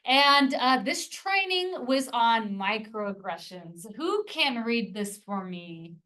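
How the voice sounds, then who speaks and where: A woman trainer guiding a group with a calm, encouraging manner. Her voice sits a touch high and is caught from a distance by the room mic. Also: slow pace. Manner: encouraging, reassuring. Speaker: tutor trainer. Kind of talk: leading a training session.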